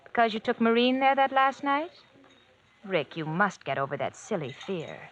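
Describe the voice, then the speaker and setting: An actress playing a cold, teasing wife with murder in mind, coaxing and mocking in old-time radio mystery style. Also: female voice, fairly high pitch, very animated, normal speed. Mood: coaxing, insistent. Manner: mocking, coaxing, calls husband dear and darling. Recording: vintage radio drama with background noise.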